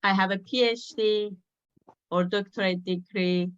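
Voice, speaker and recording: Woman, slow, measured, pauses with uh, dull audio, mid pitch. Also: fairly even intonation, clear, resonant tone. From Afghanistan. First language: Dari. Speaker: guest speaker. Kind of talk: personal talk on a video call.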